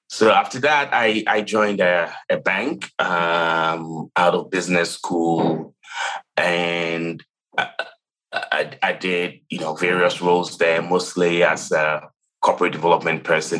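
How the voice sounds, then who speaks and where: A man's voice: sits low, fairly bright tone, low-quality recording. A man in his late thirties with a Ghanaian accent, a CFO telling his career story in an interview, thoughtful, restarting often and saying "you know". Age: late 30s. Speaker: CFO guest.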